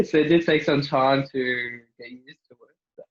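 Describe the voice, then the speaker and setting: A young man from Norway, Norwegian native, a family doctor answering interview questions in a relaxed way with many fillers and restarts. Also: medium pitch, takes it slowly, highs cut off.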